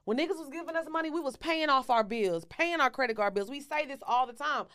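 A woman's voice: high voice, fast, a bit bright. A woman in her thirties from Texas, talking bluntly and casually with plenty of profanity as a podcast guest. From Texas, United States. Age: 30s. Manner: blunt, outspoken. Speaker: podcast guest and co-host of own show.

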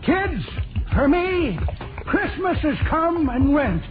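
A man's voice: very high voice, sing-song, slow pace, over loud music, exaggerated voice. An actor playing a quirky, folksy old man in a vintage radio comedy. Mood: rueful. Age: older. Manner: folksy, calls younger people daughter and kids. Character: quirky, high-voiced old-timer in red suspenders. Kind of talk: 1950s radio comedy acting. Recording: muffled vintage radio, noisy live studio audience.

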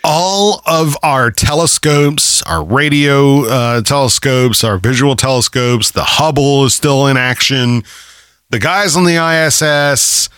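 A man with a middle-pitched voice, co-hosting a casual talk show. He chats loosely and swears freely, often slowing down to stretch out a point. The sound is dry and close. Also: fairly bright tone, no room sound. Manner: loose, profane, drawn-out delivery. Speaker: podcast co-host. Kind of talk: casual chat.

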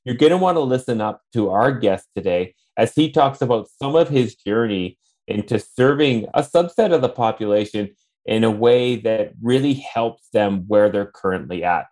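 A man with a fairly low voice hosts an interview podcast. He talks earnestly and effusively, with frequent fillers and small restarts as he introduces and questions his guest. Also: lively intonation, moderate pace, darkish tone. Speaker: podcast host.

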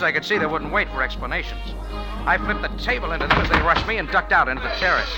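An actor playing a tough, wry cafe owner turned sleuth in a 1940s radio drama, talking and narrating in a clipped, hard-boiled way. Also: male voice, fairly high pitch, lightly acted, rough recording.

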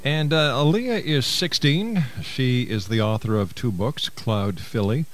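A man's voice: mid-range voice, a little slow. A middle-aged man hosting a radio interview, introducing and questioning his guest in an avuncular way. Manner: avuncular, uses pet names for the guest. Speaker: radio talk show host and interviewer.

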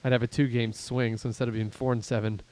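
A man from Pittsburgh hosting a casual fantasy football podcast, rambling and self-deprecating as he thinks out loud about the standings. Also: mid-range voice, little pitch movement, quick pace. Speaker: podcast host and league commissioner.

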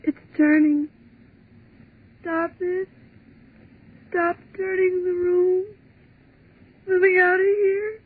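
A woman's voice: extremely high pitch, flat delivery, slow, pauses often. A terrified trapped young woman. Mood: dread. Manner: hushed and fearful, breaking into cries. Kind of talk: 1940s radio acting. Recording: tinny old radio broadcast.

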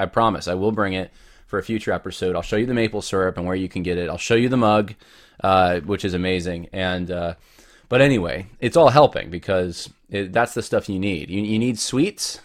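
A man hosting his own podcast, talking casually and rambling with many restarts while he has a cold. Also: deep voice. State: has a cold, voice deeper than usual. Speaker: podcast host. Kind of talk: casual solo podcast talk.

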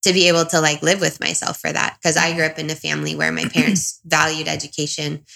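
Low-pitched, gravelly woman, reflective. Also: level tone, fast, bright, forceful tone. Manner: reflective, confiding, self-questioning. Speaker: interview guest, single parent. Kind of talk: candid personal conversation.

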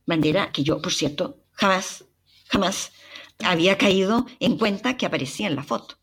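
A young Chilean woman with a Chilean accent and a breathy voice, dramatically telling a personal travel story as a podcast narrator. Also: on the low side, normal speed. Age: young adult. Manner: expressive, dramatic retelling with sighs and exclamations.

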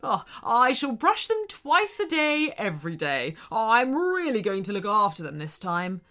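A woman's voice: a little high, wildly swooping pitch, unhurried, sharp tone, exaggerated voice. Woman acting a theatrical, growly big male shark. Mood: delighted. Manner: theatrical, exclaiming.

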